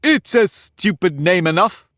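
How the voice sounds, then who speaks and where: Man doing a pompous storybook egg, high, loud, slow, grand. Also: very animated, no room sound, studio quality, heavily acted. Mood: haughty. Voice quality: boastful, argumentative, grand. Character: pompous, touchy talking egg, male. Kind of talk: audiobook character reading.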